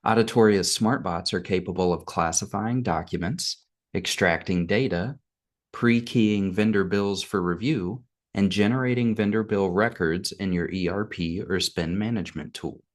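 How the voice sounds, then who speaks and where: Male narrator, low register, measured and instructional, for a scripted software walkthrough. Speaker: product demo narrator.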